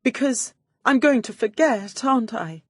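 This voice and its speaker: A woman playing a sly female detective in an audiobook, feigning sweet helplessness in a coaxing, drawn-out British delivery. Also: on the high side, expressive, breathy edge, no echo, studio quality, pitched above the performer's own voice. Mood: mock tearful.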